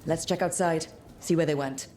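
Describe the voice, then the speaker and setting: Woman playing a terse detective over clear music. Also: low-pitched, fairly even intonation, normal speed, a little mellow, close to an everyday voice. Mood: focused, deducing. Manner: terse, matter-of-fact. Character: cool, observant female detective in a fantasy city. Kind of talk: video game voice acting, thinking aloud.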